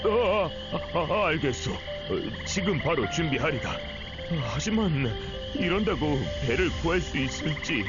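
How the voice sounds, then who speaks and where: An actor playing a flustered, stammering, defeatist ship captain with old-fashioned speech in a Korean video game. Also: male voice, fairly high pitch, very animated, unhurried. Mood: reluctant. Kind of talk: exaggerated Korean game voice acting. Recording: muffled game audio with music.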